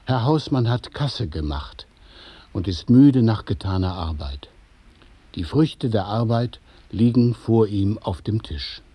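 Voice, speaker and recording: A man narrating a radio play from a script, describing each movement in a measured, precise and detached way. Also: lowish voice, animated, warm tone, breathy edge.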